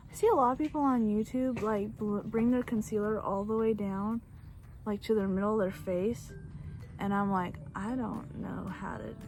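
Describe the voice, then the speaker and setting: A woman's voice: medium pitch, fairly even intonation, moderate pace, darkish tone, faint music behind, rough recording. Chatty woman, Bulgarian native speaker. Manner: chatty, rambling, self-deprecating. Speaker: beauty vlogger. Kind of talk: casual makeup tutorial chat. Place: outdoors on a back porch. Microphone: phone camera.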